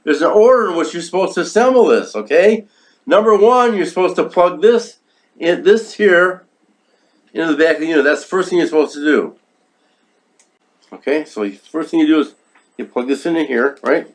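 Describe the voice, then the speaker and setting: A man with a notably high voice walks through a product demonstration in a casual, instructional way. He repeats himself for emphasis and keeps checking that the listener follows with little tag questions. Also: animated, normal speed. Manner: repetitive, emphatic, checks in with "okay?" and "right?". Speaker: product reviewer.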